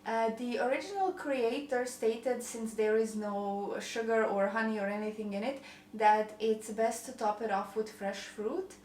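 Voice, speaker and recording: A woman's voice: a little high, a little slow, a little mellow, slight background noise. Chatty Croatian woman in an echoey kitchen. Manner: chatty, rambling, self-deprecating. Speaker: vlogger. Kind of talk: casual kitchen chat. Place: home kitchen.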